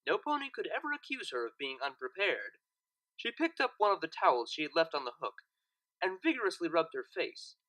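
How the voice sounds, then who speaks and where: A woman reading a scripted story aloud as narrator, with expressive delivery. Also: deep voice, brisk, low-quality recording. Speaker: fan fiction narrator.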